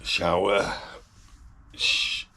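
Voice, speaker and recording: A man with a gravelly, rough voice, chatting casually and wryly as he vlogs a day trip around a town, cracking small jokes. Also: drawn-out words. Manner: chatty, wry, joking asides. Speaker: travel vlogger. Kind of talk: casual vlog commentary. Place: outdoors in a town by a river and in a vehicle. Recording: outdoor street and traffic noise.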